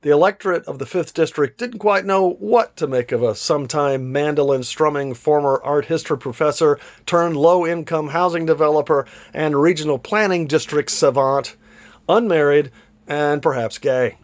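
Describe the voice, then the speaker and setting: Man, slightly high voice, literary read-aloud, theatrical storytelling delivery. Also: moderate pace. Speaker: author reading aloud from a novel.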